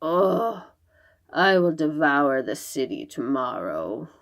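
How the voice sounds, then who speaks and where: A woman voicing a greedy, wicked male monster in a children's storybook, slow and menacing. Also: low voice, big pitch swings, voice pushed down, exaggerated voice. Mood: groaning, overstuffed. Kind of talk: children's storybook read-aloud.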